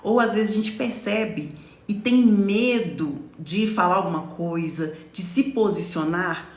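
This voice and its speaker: A woman in her forties from Brazil talks on a live stream as a lawyer explaining workplace rights. Her speech is casual and explanatory, with frequent check-ins to the viewers. The voice sits in a middle pitch and carries a noticeable echo from the room. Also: unhurried. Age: 40s. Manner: explanatory, stops often to read comments, says "tá?". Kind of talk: live-stream talk to followers.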